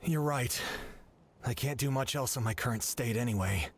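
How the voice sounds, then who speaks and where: An actor playing an injured young battle messenger in a video game, dutiful, anxious and weary. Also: male voice, mid-range voice, normal speed, slight background noise, close to an everyday voice. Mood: resigned. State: hurt, weary. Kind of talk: video game dialogue acting.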